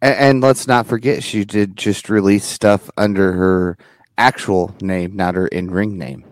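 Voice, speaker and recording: A man's voice: deep voice, steady tone, measured pace, dry recording. A man co-hosting a casual podcast, mostly chiming in with short remarks. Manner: brief interjections, laughs along. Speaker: podcast co-host. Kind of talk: casual wrestling podcast chat.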